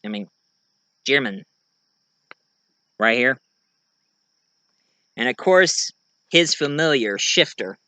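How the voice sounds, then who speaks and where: A man giving a casual show-and-tell of his own fiction, rambling and halting mid-sentence to correct himself. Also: mid-range voice, expressive, sharp tone, no echo. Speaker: amateur writer and online video creator.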